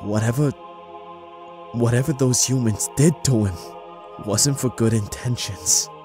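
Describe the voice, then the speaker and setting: A man voicing a gruff, grieving giant monster in a dramatic comic dub, sighing and heavy in delivery. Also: mid-range voice, measured pace, lightly acted. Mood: sorrowful. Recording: dramatic music underneath.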